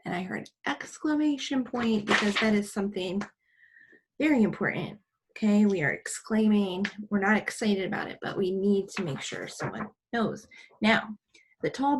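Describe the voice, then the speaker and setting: A woman teaching a children's English lesson, explaining in simple, deliberate phrases and posing questions to her pupils. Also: medium pitch, animated, slight background noise, low-quality recording.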